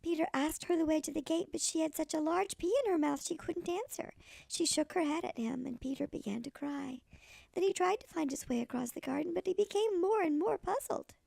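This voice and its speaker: Tiny elderly granny storyteller, played by a woman: high-pitched, very gravelly, expressive picture-book read. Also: a little fast, dry recording, full character voice. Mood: storytelling. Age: older. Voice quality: creaky, gravelly. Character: tiny granny, a little old lady storyteller. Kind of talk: expressive children's storybook reading.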